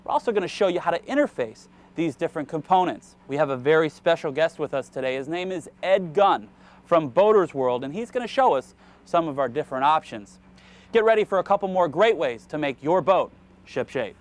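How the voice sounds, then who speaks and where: A man's voice: a little high, expressive, brisk. A man hosting an instructional how-to show, presenting in a casual, explanatory way outdoors. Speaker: TV show host. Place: outdoors on a boat.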